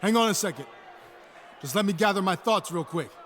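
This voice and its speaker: An actor playing a pro wrestler giving a declamatory, projected ring promo in a video game. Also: male voice, sits high, lively intonation, a little fast, fairly bright tone. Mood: stalling. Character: stalling male pro wrestler addressing the arena. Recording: arena crowd, faint noise.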